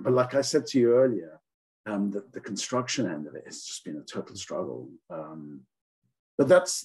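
A man's voice: medium pitch, mellow, slightly rough. A man who grew up in England, talking casually as an interview guest about leasing offices, with frequent ums and restarts. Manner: halting, many ums and restarts. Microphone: call audio.

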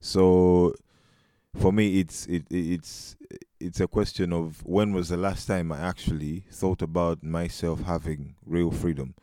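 A man's voice: low-pitched, steady tone, measured pace, dark tone, no echo, clean studio sound. A man from Uganda with a Ugandan accent, reflecting in a discussion and posing rhetorical questions. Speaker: podcast guest.

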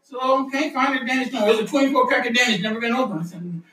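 A man's voice: very high voice, fast, bright, forceful tone, recorded from a distance. A middle-aged or older man casually telling family stories in a teasing, colloquial way. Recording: very echoey room, faint noise.

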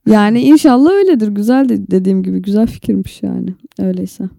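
A woman chats casually as a podcast co-host. Her voice is very dark and relaxed with a gravelly fry, and she sounds close and dry, joking as she talks. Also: mid-range voice, fast talker, dry recording.

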